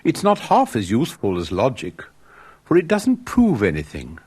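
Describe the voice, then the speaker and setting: A man's voice: mid-range voice, wildly swooping pitch, moderate pace, a little mellow, husky. A man voicing an earnest, pompous young male student in a storybook fairy tale, with music behind. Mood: disillusioned.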